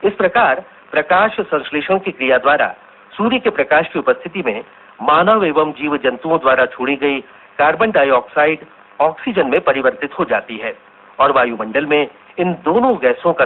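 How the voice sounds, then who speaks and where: A man's voice: a little high, animated, normal speed. A man playing a radio science correspondent, giving a formal, didactic scripted report in a radio drama. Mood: instructive. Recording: tinny, narrow-band radio recording.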